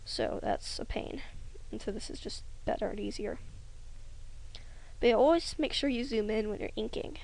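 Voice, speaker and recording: A young woman rambling hesitantly over a drawing tutorial, often trailing off into soft near-whispers. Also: a little high, moderate pace, gravelly, rough recording. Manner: rambling, hesitant, self-conscious, many ums. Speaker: hobby animator.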